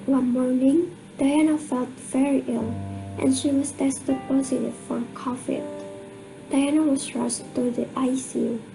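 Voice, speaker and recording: A woman's voice: high-pitched, vocal fry, rough recording. A woman reading scripted storytelling narration for an amateur radio drama. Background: music.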